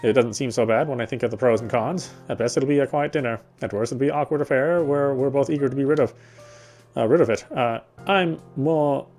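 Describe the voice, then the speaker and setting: A man's voice: mid-range voice, fairly quick, a little mellow, soft background music. A man reading visual novel narration aloud, sometimes stumbling and rereading phrases.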